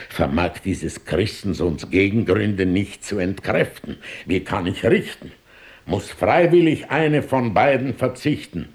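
An actor playing a pompous, wily old Pope in a rhymed radio play, speaking theatrically and solemnly. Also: male voice, on the low side. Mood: exasperated. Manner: theatrical, solemn, swinging from outbursts to hushed asides.

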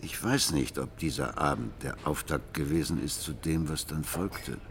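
An actor playing a brooding, jealous husband who recounts his marriage in a measured, reflective way in a radio play. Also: a bass voice, creaky, noisy background. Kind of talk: radio play narration and dialogue. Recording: music behind the voice.

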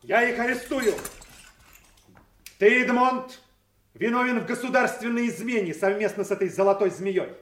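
A stern nobleman of classical tragedy, declaiming in a high-pitched voice. He speaks with authority and accusing indignation, and the roomy echo of an audio drama surrounds his words. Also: moderate pace, pauses often, a bit bright. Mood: stern accusation.

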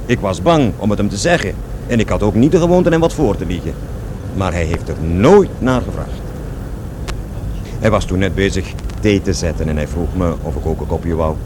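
Over clear street noise, a defiant young man in a radio play. His voice sits fairly low, and he tells stories of school and his father in scornful, slangy, colloquial speech. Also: expressive, normal speed. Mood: reflective. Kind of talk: radio drama acting, animated storytelling.

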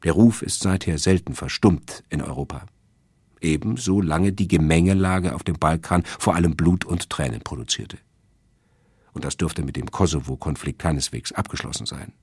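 A man delivering a scripted literary radio essay as a presenter, in a measured, emphatic and erudite manner. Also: very deep voice, a little mellow, husky, dry recording, studio quality. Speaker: radio presenter introducing a radio play.

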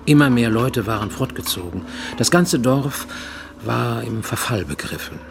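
An actor playing a brooding Japanese man on the run, confessing in a husky, intense radio play monologue that drops to near-whispers. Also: mid-range voice, lively intonation, measured pace, noisy background. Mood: defensive, weary.

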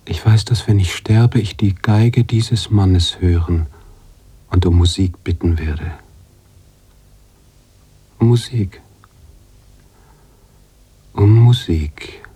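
Slow, deep, brooding writer-narrator. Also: male voice, dark tone, breathy edge, heavily acted. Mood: haunted, awed. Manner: halting, searching, questioning, dramatic shifts into whispering. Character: brooding male writer-narrator, anguished, philosophical, mourning his heroine. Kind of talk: literary radio drama monologue.

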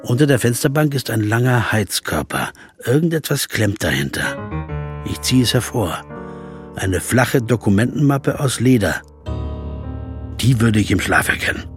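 An actor playing a jazz pianist who narrates in the first person, in a measured, inwardly questioning way. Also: male voice, mid-range voice, big pitch swings, over music. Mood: musing. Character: male jazz pianist narrating, wry and curious. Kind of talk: audio drama narration.

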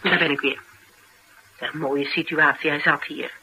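An actress playing a flustered, blunt, protective interviewer in a radio drama, with a Netherlands Dutch accent and a halting, exasperated delivery. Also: female voice, low voice, steady tone. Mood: hurried, confiding. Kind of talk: naturalistic radio drama dialogue. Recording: faint background noise.

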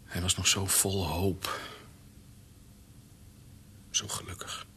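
An actor playing a gentle, grieving male doctor in a radio drama. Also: deep voice, flat delivery, slow, whispered, exaggerated voice. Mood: sorrowful.

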